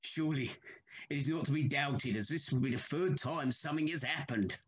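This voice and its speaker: An actor playing an anxious, haunted older railway signalman in a Victorian ghost story, troubled and halting, with formal old-fashioned speech. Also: mid-range voice, highs cut off, very noisy, close to an everyday voice. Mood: frantic worry.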